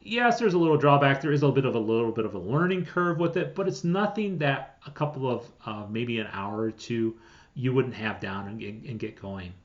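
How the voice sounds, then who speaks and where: A man reviewing software in a casual, conversational and informal way, talking as if straight to his viewers. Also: medium pitch, expressive, fairly quick, highs cut off. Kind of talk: casual scripted-outline product review.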